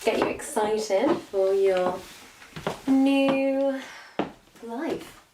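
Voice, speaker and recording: A woman playing a sly, self-satisfied witch posing as a missionary, in a theatrical, condescending monologue that savors each word to a captive listener. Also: on the high side. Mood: gloating, condescending. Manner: theatrical, condescending, savoring words with long pauses. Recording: roomy echo, faint background noise.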